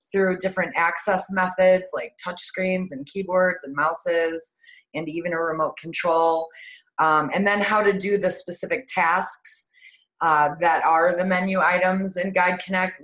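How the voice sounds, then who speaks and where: A woman's voice: on the low side, rough recording. A woman presenting a product webinar on a video call, chatty with frequent ums and uhs. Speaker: marketing manager presenting a webinar.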